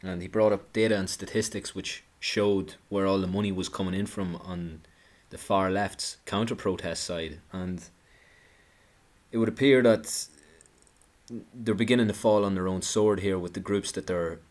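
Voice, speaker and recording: A man's voice: sits low, fairly even intonation, normal speed, darkish tone. A man giving an indignant, sarcastic political commentary, talking with frequent restarts. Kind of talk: monologue commentary.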